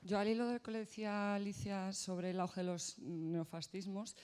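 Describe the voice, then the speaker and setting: A man making thoughtful, steady remarks from the floor of an assembly. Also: high-pitched, moderate pace, clean tone. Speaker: assembly participant.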